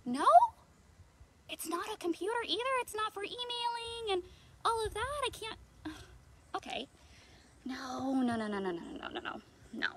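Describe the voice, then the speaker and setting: A woman's voice: extremely high pitch, sing-song, normal speed, pauses often, a bit bright, a little hiss or hum. A woman in her thirties hosting a children's read-aloud outdoors, theatrical and playful, full of questions. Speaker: children's librarian, storytime host. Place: outdoors in a leafy backyard.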